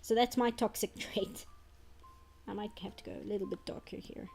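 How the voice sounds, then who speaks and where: A young woman with a South African accent and an Afrikaans background chats in a rambling, casual way while she draws, now and then dropping into quiet muttering as she works. Her voice sits at a middle pitch for a woman. Soft music plays faintly in the background. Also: steady tone, normal speed, vocal fry. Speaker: artist streamer. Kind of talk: casual chat while drawing on stream.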